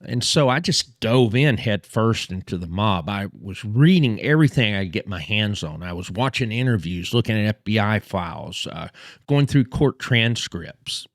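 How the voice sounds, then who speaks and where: A man in his fifties with a Texas accent, host of a history channel, telling a personal story in a halting way with many fillers and restarts. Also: on the low side, expressive, no room sound, studio quality. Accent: Texan.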